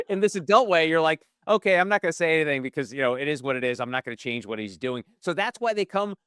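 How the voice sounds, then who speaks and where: A man's voice: a little high, fast talker, smooth, no echo. Man, podcast host, jokey, chatty, dry close recording. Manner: jokey, chatty, animated questions. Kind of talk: interview, casual chat.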